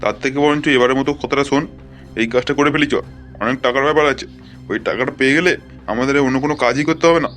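A man voicing a nervy small-time hired thug in a cartoon, colloquial and excitable, with music behind. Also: mid-range voice, fast talker, natural voice. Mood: persuading. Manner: colloquial, excitable, stammers when frightened.

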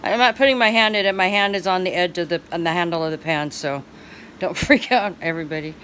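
An older woman filming a home cooking video on her phone, adding slow asides and chuckling now and then. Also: lowish voice, a bit bright, slight background noise. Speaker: co-host filming. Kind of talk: casual cooking demo. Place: small home kitchen. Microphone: handheld phone.

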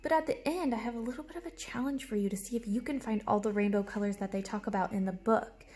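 A young adult woman reading a storybook aloud to children like a teacher, with animated, explanatory asides, recorded in a room at home. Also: mid-range voice, quick pace. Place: small room at home, indoors. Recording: clear background noise, room ambience.

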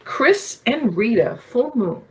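A woman's voice: a little high, big pitch swings, slow, darkish tone, slight background noise, poor audio. A middle-aged woman with a New York accent, hosting a live auction and chatting casually as she reads out album titles. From Long Island, New York. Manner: chatty, thinking aloud while reading album titles. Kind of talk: casual live-stream selling records. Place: small home room full of shelves.

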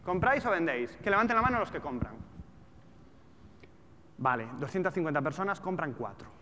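High-voiced Spanish man presenting in an echoey hall. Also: a little fast. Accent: European Spanish. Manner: colloquial, animated, throws rhetorical questions at the audience. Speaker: speaker, trader and trading educator. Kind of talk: informal presentation to a live audience. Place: large auditorium stage with seated audience. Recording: echoey hall with background noise.

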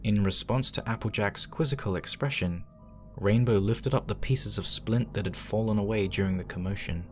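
A man's voice: fairly low pitch, moderate pace, pushed and bright, soft background music. A man narrating a horror fan fiction as a dramatic scripted reading.

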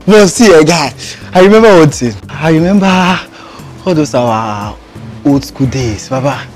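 A man's voice: on the high side, unhurried, soft background music. An actor playing a blunt, exasperated male friend, badgering his pal in Nigerian Pidgin. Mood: teasing. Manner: animated, badgering, repetitive. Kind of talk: Nigerian Pidgin film dialogue.

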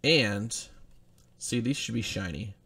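A man's voice: on the low side, takes it slowly, sharp tone, breathy edge. A young man hosting a live card break, chatting casually as he rattles off player names while opening packs at a desk in a small studio. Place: indoor studio with branded backdrop.

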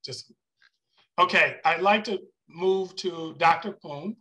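A man chairing a formal meeting over a video call, explaining things in a measured way. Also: sits high, sharp tone, low-quality recording.

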